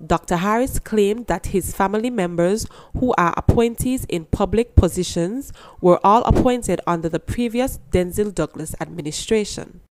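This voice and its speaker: A woman reads scripted news in a formal, measured way as a newsreader. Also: medium pitch, darkish tone, poor audio.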